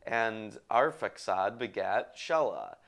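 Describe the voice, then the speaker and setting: A man hosting a show, reading scripture aloud in a deliberate, list-like way with casual asides. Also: fairly low pitch, animated, vocal fry, a bit bright. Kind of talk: halting read-aloud of Bible genealogy with casual asides.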